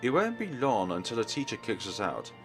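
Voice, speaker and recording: A blunt schoolgirl lead from a visual novel read by a man, offering help in an offhand way. The pitch sits in the middle of a male range, with faint music underneath. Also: wildly swooping pitch, a little fast. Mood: blunt, helpful. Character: blunt but helpful schoolgirl with intimidating reputation.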